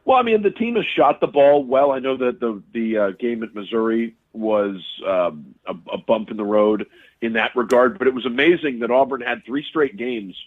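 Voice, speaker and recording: A man breaks down a game in casual sports talk, speaking quickly and often repeating words as he works through a thought. His voice comes through a narrow, phone-like line. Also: medium pitch. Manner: fast, repeats words while thinking. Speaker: radio sports broadcaster, guest.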